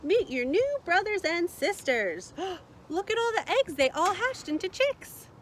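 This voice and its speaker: A woman voicing a gentle mother hen in a read-aloud picture book, speaking sweetly to her chick. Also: extremely high pitch, big pitch swings, a little slow, a little hiss or hum, pitched above the performer's own voice, full character voice. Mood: delighted.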